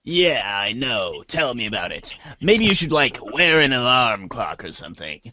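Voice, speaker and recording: Casting: man as confused, exasperated young boy hero for amateur webcomic drama; forceful, animated, a little high. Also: moderate pace, slight background noise. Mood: puzzled, wry. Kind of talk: amateur reader's theater of a webcomic.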